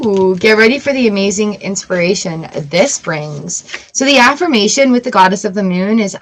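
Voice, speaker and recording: A woman with a voice of ordinary pitch talks through a tarot reading in a loose, casual way. She reads the card text aloud and then drifts into chatty, playful asides. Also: rough recording. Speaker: tarot reader.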